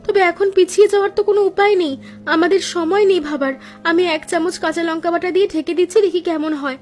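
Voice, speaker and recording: A woman voicing a practical young daughter-in-law in a cartoon, talking in a brisk, expressive way. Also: very high-pitched, soft background music.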